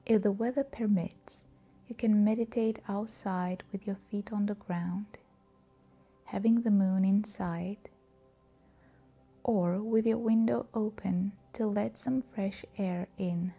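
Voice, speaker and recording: A woman leading a scripted guided meditation in a soft, breathy, soothing and calm voice. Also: medium pitch, takes it slowly, faint music behind.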